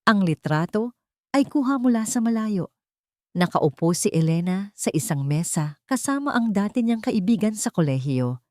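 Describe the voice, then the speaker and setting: A woman's voice: medium pitch, lively intonation, moderate pace, a little mellow, no room sound, studio quality. A woman storyteller reading a scripted, dramatic story narration.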